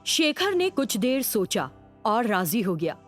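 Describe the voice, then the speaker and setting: A woman's voice: a little high, sing-song, a little slow, soft background music. A woman narrating a scripted children's cartoon story.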